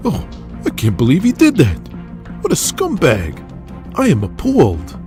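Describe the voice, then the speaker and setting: A man voicing an older, hot-tempered schemer in an audio drama, forceful and defiant. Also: on the high side, wildly swooping pitch, normal speed, soft background music, voice pushed up. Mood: feigned innocence.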